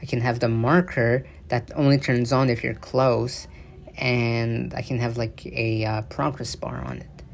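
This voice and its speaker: A man's voice: medium pitch, little pitch movement, a little slow, creaky, slight background noise. A man giving casual, loose commentary on new game update features, with many fillers and restarts. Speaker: gaming news video creator. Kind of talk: casual explanatory commentary over gameplay footage.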